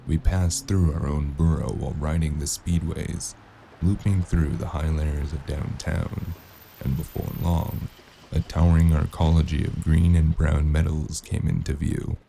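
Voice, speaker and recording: A man playing a weary, wounded noir detective in an audio drama, gruff and deadpan, with a gravelly voice and dry wit. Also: rumbling low, unhurried, slight background noise, exaggerated voice, rough recording. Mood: brooding narration. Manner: laconic, deadpan.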